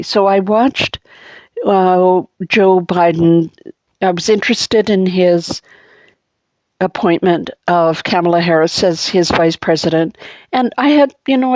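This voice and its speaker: A middle-aged woman with an Anglophone Montreal accent, giving a halting personal monologue full of fillers and restarts. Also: on the low side, a little mellow, no echo. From Montreal, Canada. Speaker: vlogger.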